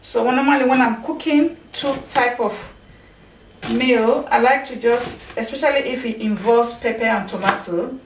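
A woman's voice: fairly high pitch, normal speed. A woman in her thirties chatting casually as she cooks in her home kitchen, recorded on a camera mic set up across the room. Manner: chatty, talks while chopping and cooking. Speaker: cooking vlogger. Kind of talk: casual cooking narration. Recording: echoey kitchen, far from mic, background noise.

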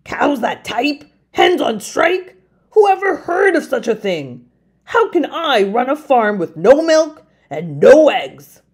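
A woman's voice: high voice, very animated, takes it slowly, slightly rough, pitched above the performer's own voice, exaggerated voice. A woman voicing an indignant old male farmer in a storybook, exclaiming with emphasis. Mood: furious.

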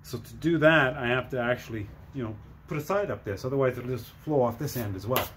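A middle-aged man giving a casual hands-on DIY demonstration, halting and thinking aloud as he works. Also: mid-range voice, fairly bright tone, a little hiss or hum. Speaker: DIY video maker. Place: cluttered workshop with dirt floor.

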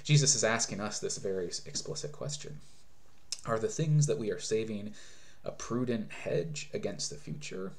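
A man's voice: lowish voice, moderate pace. A man in his thirties, a pastor, preaching an earnest sermon from a small room at home into a webcam, often restarting phrases as he explains. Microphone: webcam at desk distance.

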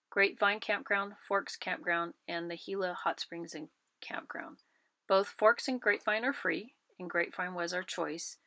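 A woman giving a scripted voice-over as a travel vlogger, with a clear, informative delivery. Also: on the low side, bright, forceful tone, dry recording.

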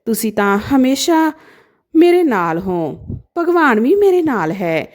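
A woman's voice: on the high side, very animated, unhurried. A woman storyteller voicing an affectionate, trusting teenage daughter in an earnest, tender way.

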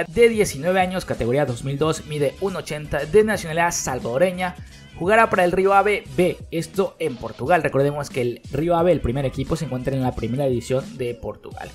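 Male sports-news host, slightly high voice, brisk read-out delivery over light background music. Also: expressive. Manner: brisk, newsy delivery with direct calls to viewers. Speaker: news host of a football channel. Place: voice-over, not recorded at the pictured fields.

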